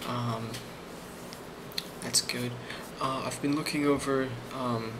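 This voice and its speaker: A man speaking softly in an ASMR role play as a boss, hesitant and reassuring, with frequent pauses. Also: mid-range voice, fairly even intonation, background noise. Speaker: ASMR role-player playing a boss giving a review.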